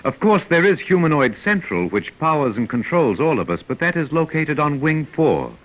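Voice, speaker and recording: An actor playing a polite, implacable humanoid robot servant in an old radio drama, speaking formally and deferentially. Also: male voice, on the high side, big pitch swings, moderate pace, lo-fi sound. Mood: calmly insistent. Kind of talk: 1950s radio science fiction acting.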